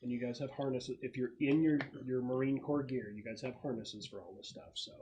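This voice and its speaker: A man's voice: medium pitch, fairly even intonation, a little fast, warm tone, muffled recording. Informal game master guy, noisy recording. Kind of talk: casual tabletop roleplaying session among friends.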